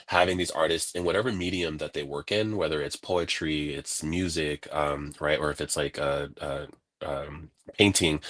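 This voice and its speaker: A man from California, a guest on a video-call interview, telling stories in a casual, animated way and acting out what people said. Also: rumbling low, moderate pace. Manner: animated, laughing, acts out quoted voices.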